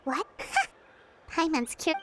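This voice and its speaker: A woman voicing a small, excitable, cheeky and suspicious female fairy companion in a video game, who exclaims a lot. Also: high voice, very slow, very noisy. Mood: suspicious, indignant. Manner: speaks of self in third person, exclamatory.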